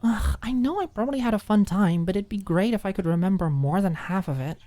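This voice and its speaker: A woman's voice: medium pitch, a little fast, warm tone, no echo, voice pushed up, close to an everyday voice. A woman voicing a sarcastic, slightly grumpy young college student in an audiobook. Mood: frustrated.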